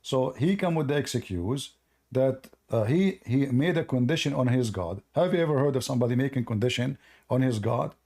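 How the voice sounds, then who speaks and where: A man whose first language is Arabic, hosting a live call-in debate and arguing forcefully while reading from sources. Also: medium pitch, lively intonation, normal speed. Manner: forceful, argumentative, repeats himself. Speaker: host of a call-in debate stream.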